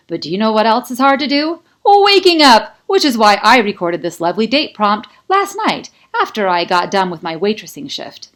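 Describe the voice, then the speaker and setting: A woman's voice: mid-range voice, voice pushed up, close to an everyday voice. A woman voicing a gleefully catty, showy TV show announcer in a theatrical, teasing sing-song for an audiobook.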